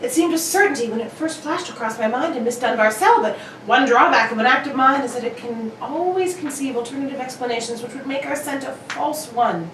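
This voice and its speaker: A high-pitched, brilliant detective played by a woman speaks in an exacting, theatrical radio-play manner. The voice comes from off the mic in an echoey room, with every phrase laid out precisely. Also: fairly quick, hardly any pauses, fairly bright tone. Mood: brisk, confident. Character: brilliant, exacting male detective, brisk and self-critical. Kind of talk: old-time radio drama acting. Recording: echoey room, distant mic, faint noise.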